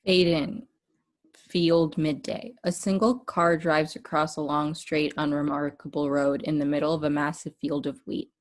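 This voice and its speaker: A woman's voice: low-pitched, little pitch movement, moderate pace. A woman reading stage directions as scripted narration for a table read.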